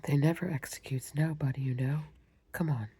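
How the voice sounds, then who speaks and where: A whispering storyteller voicing a gruff, impatient male gryphon who drops his aitches and talks in rough, ungrammatical speech. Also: medium pitch, steady tone, normal speed, mellow, dry recording. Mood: dismissive.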